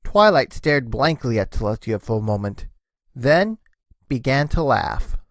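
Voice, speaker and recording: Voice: mid-range voice, sing-song, unhurried, no room sound. A narrator reading a scripted story between the characters' lines.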